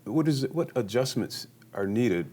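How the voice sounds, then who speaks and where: A man hosting an interview who asks questions haltingly, often restarting words and laughing. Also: medium pitch, lively intonation, a little mellow, slightly rough.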